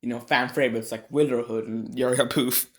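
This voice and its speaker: Male commentary host, mid-range voice, informal and opinionated, with a halting, rambling delivery. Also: medium pitch, fairly even intonation. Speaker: video host ranking game voice actors. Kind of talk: casual, loosely scripted ranking commentary.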